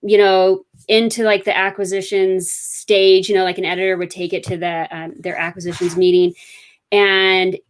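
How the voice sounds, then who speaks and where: A woman's voice: medium pitch, little pitch movement, moderate pace. Chatty woman author, rambling. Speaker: author guest. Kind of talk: casual interview chat.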